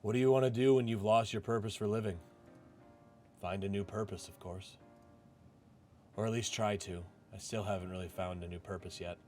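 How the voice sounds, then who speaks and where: Man reading as low-voiced, introspective office clerk. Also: steady tone, normal speed, pauses often, warm tone, lightly acted. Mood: reflective. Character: disillusioned, introspective male office clerk narrating his life. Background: game music.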